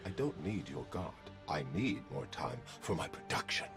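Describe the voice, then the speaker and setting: An actor playing a snobbish, condescending, theatrical artist-killer in a video game, with pompous disdain. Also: male voice, rumbling low, sing-song, moderate pace, over music, full character voice. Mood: haughty, mocking. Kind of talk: video game character voice lines.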